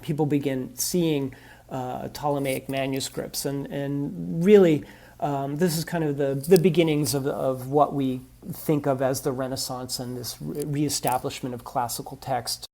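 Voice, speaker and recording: Male expert interviewee, mellow, hesitant delivery full of fillers. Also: medium pitch, fairly even intonation, a little slow, vocal fry. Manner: hesitant, many ums and restarts. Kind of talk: recorded interview.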